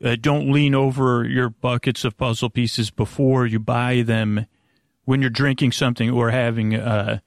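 Creaky, rambling male bedtime podcast host. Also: medium pitch, fairly even intonation, no echo, studio quality. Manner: halting, stammering, repeats and trails off into tangents; friendly, gentle companion. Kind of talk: rambling, meandering bedtime monologue addressed to the listener.

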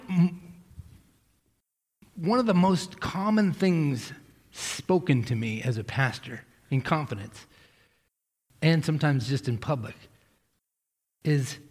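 A man's voice: on the high side, gravelly, husky. A man from Massachusetts preaching a casual, joking sermon as pastor, often restarting his sentences. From Massachusetts, USA. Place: church sanctuary. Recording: hall reverb.

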